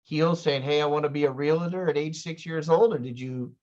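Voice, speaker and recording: Man, podcast host, slightly high voice, dull lo-fi sound, friendly rambling questions. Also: a little fast, a little mellow. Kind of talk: casual interview. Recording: dull lo-fi audio.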